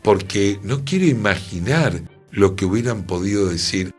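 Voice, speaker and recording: Un hombre con acento rioplatense que opina en la radio de manera enfática y reflexiva, con preguntas retóricas. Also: male voice, fairly low pitch, animated, normal speed, soft background music. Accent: Argentine Rioplatense Spanish. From Argentina. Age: middle-aged or older. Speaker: radio host. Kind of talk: opinionated radio commentary.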